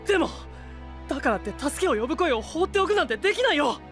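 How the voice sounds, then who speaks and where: An actor playing an earnest, excitable, boyish young knight in an anime-style voice drama. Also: male voice, extremely high pitch, fast, soft background music, full character voice. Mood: determined.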